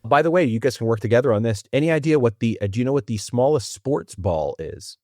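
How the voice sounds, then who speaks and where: A man's voice: mid-range voice, expressive, fast, darkish tone, a little hiss or hum. A man hosting a trivia podcast in a chatty, amused manner, explaining rules at length. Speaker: quiz host. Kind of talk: casual game-show hosting.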